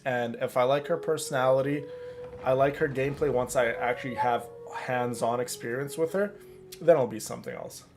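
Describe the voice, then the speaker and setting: A man's voice: medium pitch, steady tone, fairly quick. A man chatting casually and familiarly to his viewers while streaming a video game. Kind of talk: casual live stream chat. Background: game music.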